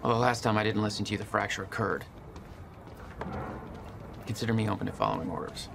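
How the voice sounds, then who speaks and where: An actor playing a stubborn, weary action hero in a cinematic video game, terse and dryly defiant. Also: male voice, fairly low pitch, fast, vocal fry, background noise. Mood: wry.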